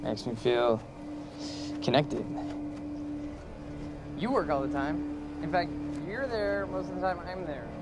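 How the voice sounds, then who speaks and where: An actor playing a wry, joking young workaholic with dry, casual sarcasm in a naturalistic screen drama. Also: male voice, on the high side, slow pace, long pauses, heavy background noise.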